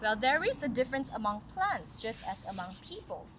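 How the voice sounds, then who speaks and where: High-voiced woman reading to children, noisy recording. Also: very animated, brisk, sharp tone. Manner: instructive, talks to children with questions and comments. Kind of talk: read-aloud fairy tale with chatty asides.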